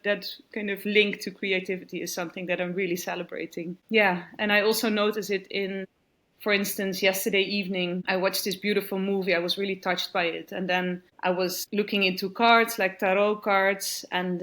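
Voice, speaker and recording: A woman's voice: mid-range voice, flat delivery, normal speed, a bit bright. A woman, reflecting on a retreat in an interview, searching for words with frequent restarts. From Netherlands. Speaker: retreat participant.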